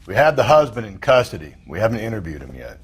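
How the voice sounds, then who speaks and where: An actor playing a gruff, slangy detective partner in a game, blunt and husky. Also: male voice, on the low side, very animated, quick pace. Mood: sardonic.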